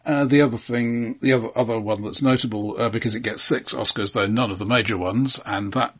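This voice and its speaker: A man co-hosting a casual film podcast, talking in a measured, analytical and somewhat hesitant way. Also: mid-range voice, fairly even intonation, a bit bright, breathy edge. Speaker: podcast co-host.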